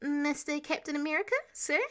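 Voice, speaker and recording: A woman's voice: high voice, little pitch movement, brisk, pitched above the performer's own voice, close to an everyday voice. A woman voicing an eager, awkward fifteen-year-old boy superhero who rambles earnestly in a fan fiction audiobook. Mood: excited, rambling. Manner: breathless rambling chatter.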